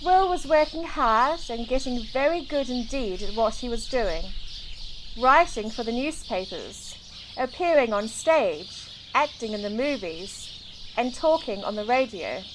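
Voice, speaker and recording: High, lilting woman reading a storybook. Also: noisy background. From New Zealand. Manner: expressive, deliberate read-aloud delivery. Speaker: children's book reader. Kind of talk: storybook narration for children. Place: outdoors in a garden. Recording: outdoor recording with clear birdsong. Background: birdsong.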